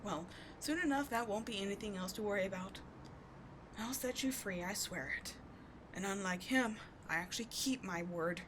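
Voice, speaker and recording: A woman playing an obsessive, devoted villain in a dramatic ASMR roleplay, speaking with theatrical intensity that moves between forceful and hushed. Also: mid-range voice, normal speed, noisy background, lightly acted. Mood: cold fury. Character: obsessive, devoted female villain wooing a wounded sidekick.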